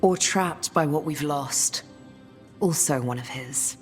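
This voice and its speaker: An actress playing a grave, weary noblewoman leader in a fantasy video game, speaking in a measured, solemn way. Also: low voice. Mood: grave, reflective. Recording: long reverb, music behind.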